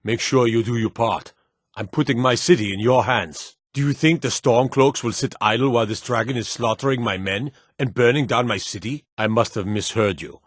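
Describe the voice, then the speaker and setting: A man voicing a stern, loyal guard commander in a fantasy video game, with a gruff, weighty, formal delivery. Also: medium pitch, moderate pace, no echo, clean studio sound, close to an everyday voice. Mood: measured, resolute. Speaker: voice actor for game guard lines.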